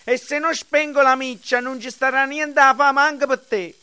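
A man's voice: very high-pitched, steady tone, moderate pace, pushed and bright. A man playing a stubborn, melodramatically dying fire-eater in a radio play, groaning and grumbling with a southern Italian accent. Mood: grumpy, groaning. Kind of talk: theatrical radio drama acting.

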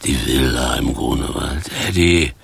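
Husky, slow, low-voiced stern tramp. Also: male voice, exaggerated voice. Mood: insistent recounting. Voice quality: husky, gravelly. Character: weary, stern, reproachful homeless tramp, male former stage actor. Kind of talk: radio play acting.

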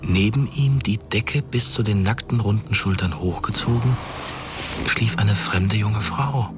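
A man's voice: fairly low pitch, a little slow, noisy background. A man narrating a scripted radio drama as the storyteller.

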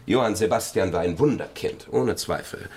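An actor in a German radio drama playing an effusive, pompous male music scholar with a husky voice, given to lecturing. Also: low voice, moderate pace, darkish tone, slight background noise. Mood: enthusiastic.

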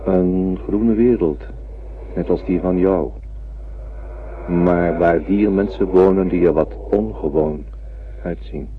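An actor playing a huge old telepathic alien, gentle and wise, speaking in a measured, solemn way in a radio drama. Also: male voice, low-pitched. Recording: narrow lo-fi old radio recording, faint noise.

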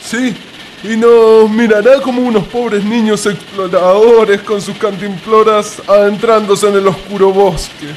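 Radio play: wavering, wry young Chilean male traveller, high-pitched. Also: flat delivery, unhurried, a little hiss or hum. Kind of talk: radio drama acting.

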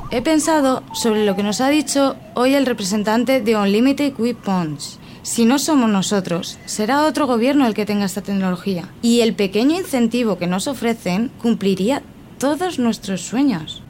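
A woman's voice: on the high side, expressive, moderate pace, a little mellow, slight background noise, close to an everyday voice. A woman playing an assertive, persuasive wife in a Spanish audio drama. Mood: smug, persuasive. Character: assertive, persuasive wife of a government decision-maker. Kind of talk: Spanish audio fiction acting.

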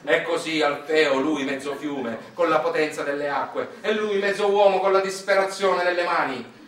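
A man's voice: a little high, moderate pace, fairly bright tone. A man with a Sicilian accent performing a dramatic, ironic storytelling monologue, slipping into dialect. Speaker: stage storyteller, monologue actor. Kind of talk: theatrical narrative monologue, satirical storytelling. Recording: roomy, distant microphone.

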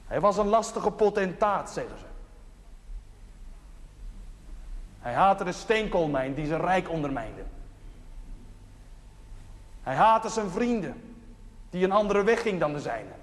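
Actor as earnest chaplain preaching in echoing church. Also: male voice, mid-range voice, close to an everyday voice. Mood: solemn. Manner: rhetorical, deliberate short phrases with pauses. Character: plain-spoken, earnest new village chaplain preaching first sermon. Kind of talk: dramatic sermon in a television drama. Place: large reverberant interior. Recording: echoey church hall, noisy old TV audio.

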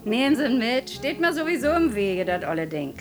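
A woman's voice: a little high, very animated, brisk. A woman playing a brusque, chatty Berlin landlady in a radio drama, teasing in colloquial Berlin dialect. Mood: offhand. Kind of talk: German radio drama acting. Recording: music playing behind the voice.

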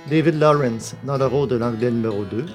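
A man's voice: mid-range voice, fairly quick. A man reading scripted credits as the announcer of an audio drama. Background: plucked guitar music.

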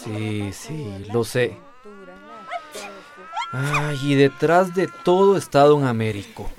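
A patient male health worker. He speaks gently at a medium pitch, reassuring and calm, over music in a radio novela. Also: slow. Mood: gentle, concerned. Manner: gentle, reassuring, soft-spoken. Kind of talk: radio drama acting.

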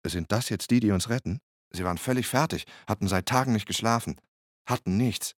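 A man's voice: lowish voice, brisk, no echo, clean studio sound, lightly acted. An actor playing a male hurricane survivor and photographer who recounts his rescue as a plain first-person radio drama monologue. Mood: recounting, weary.

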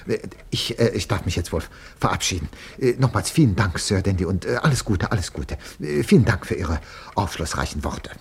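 Deep, theatrical radio announcer. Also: male voice, expressive, brisk, husky, exaggerated voice. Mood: flustered, polite. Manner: theatrical, mock-solemn. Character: fussy, flustered, melodramatic male radio announcer. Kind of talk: 1950s German radio drama narration, parody reportage. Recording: 1950s radio recording, faint noise.

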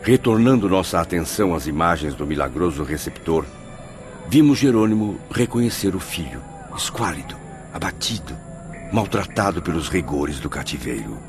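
A man narrates a radio drama solemnly. His low voice sits over clear background music. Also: animated, normal speed. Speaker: first-person narrator.

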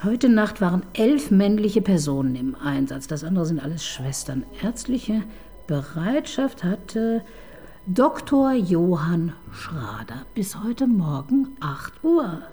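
Brief: commanding woman police chief, husky, mellow tone, lively inflections, sarcastic and impatient. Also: lowish voice, slow, heavily acted. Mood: dry. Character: sharp, bossy female chief inspector. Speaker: police detective chief inspector. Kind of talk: radio crime drama acting.

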